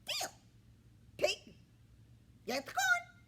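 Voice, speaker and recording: A woman's voice: very high-pitched, wildly swooping pitch, drawn-out words, very noisy, pitched above the performer's own voice, full character voice. A woman voicing a bossy, greedy, scruffy hen in a children's storybook, squawky and demanding. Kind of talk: children's storybook read-aloud.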